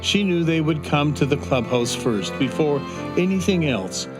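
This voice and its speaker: A man narrating a children's fantasy audio drama in a gentle, unhurried storytelling manner. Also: on the high side, lively intonation. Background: soft instrumental music.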